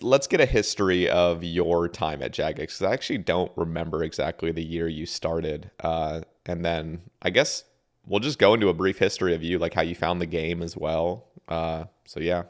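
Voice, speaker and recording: A young man hosting a casual podcast interview, his voice croaky from just waking up, rambling with fillers and restarts. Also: deep voice, fairly quick. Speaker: podcast host.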